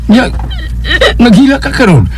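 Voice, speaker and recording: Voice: mid-range voice, big pitch swings, a little slow, darkish tone. A worried father.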